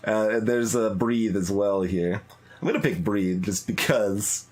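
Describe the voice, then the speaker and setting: A streamer giving casual playthrough commentary, halting and musing aloud. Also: low-pitched, darkish tone. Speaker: video game streamer.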